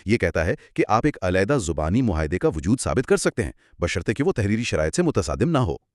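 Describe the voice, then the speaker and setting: A man co-hosting a scripted explanatory discussion, speaking in a measured, emphatic, didactic way. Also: lowish voice, sing-song, darkish tone, no echo, clean studio sound. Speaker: co-host explaining law.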